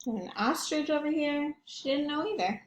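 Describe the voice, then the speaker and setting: A woman's voice: high-pitched, animated, normal speed, roomy sound, highs cut off. A woman reading picture books aloud to young children, expressively and with questions for her listeners. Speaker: children's read-aloud host, teacher or librarian.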